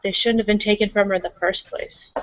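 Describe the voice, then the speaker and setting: A woman playing an outspoken, hopeful, excitable member of a werewolf pack in a tabletop roleplaying game. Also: mid-range voice, fast, dry recording, muffled recording, close to an everyday voice. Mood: indignant. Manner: emphatic, frank, casual.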